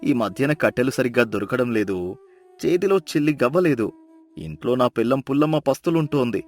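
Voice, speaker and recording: A man's voice: medium pitch, expressive, brisk, soft background music, close to an everyday voice. A man playing a meek, weary, poor woodcutter in a folk tale, pleading and grumbling.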